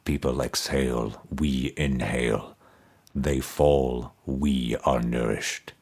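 Picture a dead emperor's skull buried under a tree, speaking in a resonant, toneless voice from far below as a male narrator puts him on. The voice sits well beneath a deep speaking voice, slow and heavy with command. It suits a dark spoken-word fantasy tale. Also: steady tone, slightly rough, exaggerated voice. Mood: cold commanding.

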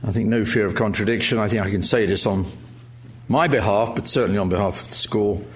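A man's voice: fairly low pitch, brisk. A middle-aged man chairing a lecture evening, introducing the speaker with wry jokes and many hesitant fillers. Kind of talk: formal introduction speech with jokes. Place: lecture hall with audience.

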